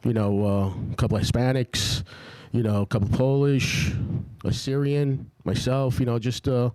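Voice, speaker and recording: A man with a middle-pitched voice talks as a guest on a podcast. He reminisces casually and informally, often saying a phrase twice to stress it. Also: steady tone, normal speed, a little mellow. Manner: repeats phrases emphatically, informal.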